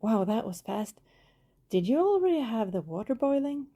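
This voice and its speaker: A woman playing a friendly, apologetic vampire who poses as a shy neighbor in an intimate ASMR roleplay, rambling hesitantly in a soft, husky, breathy voice. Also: a little high, very animated, normal speed. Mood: bashful, cozy.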